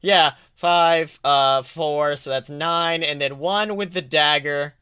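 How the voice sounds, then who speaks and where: A man's voice: on the high side, slow, bright, forceful tone, slight background noise. A man casually running a tabletop game as game master, teasing and joking as he narrates. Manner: teasing, jokey. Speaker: game master, podcast host.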